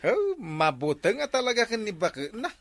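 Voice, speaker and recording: A man with an Ilocano accent acting a teasing, excitable village drinking buddy in a comedy skit. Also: high voice, moderate pace, exaggerated voice.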